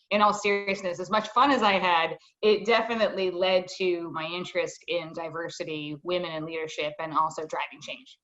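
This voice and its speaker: A middle-aged woman giving a professional self-introduction as a panelist, in articulate, measured phrases. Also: lowish voice, a bit bright. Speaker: panelist, nonprofit chief executive. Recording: slightly dull online call audio.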